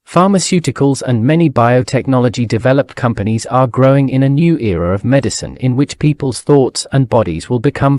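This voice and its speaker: A man's voice: medium pitch, a little mellow, dry recording. Man reading aloud, dry sound. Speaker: exam practice reader. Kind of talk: scripted read-aloud passage.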